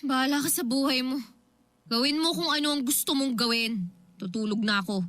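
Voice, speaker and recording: An actress playing a sullen, irritable younger sister in a radio drama, whiny, stubborn and defiant. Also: female voice, high-pitched, brisk, a bit bright, no room sound. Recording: music behind the voice.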